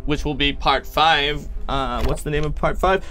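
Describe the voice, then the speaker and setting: A man narrating casually as game master and host, explaining things with some halting. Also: a little high, steady tone, pushed and bright, soft background music.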